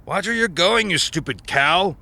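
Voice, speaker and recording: A man's voice: high-pitched, animated, normal speed, creaky, pushed and bright, heavily acted. A man playing a brusque stranger in a crowd of tourists in an audio drama. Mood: angry.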